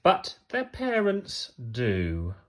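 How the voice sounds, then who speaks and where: A man reading a children's story aloud like a teacher, expressively, with emphatic phrasing and pauses for effect. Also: medium pitch, wildly swooping pitch.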